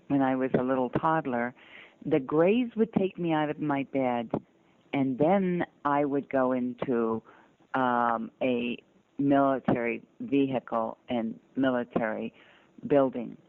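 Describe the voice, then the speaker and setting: An older woman from the southern United States telling personal stories earnestly and deliberately as an interview guest. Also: extremely low pitch, dry recording. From southern United States (Georgia, North Carolina). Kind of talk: personal storytelling in conversation. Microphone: telephone. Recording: muffled narrow phone-line sound.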